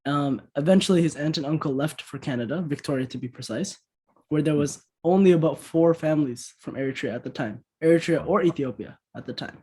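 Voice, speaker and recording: A young man, a podcast guest, speaking in a measured, hesitant way with fillers. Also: a little high, a little mellow, husky. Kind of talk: casual interview chat.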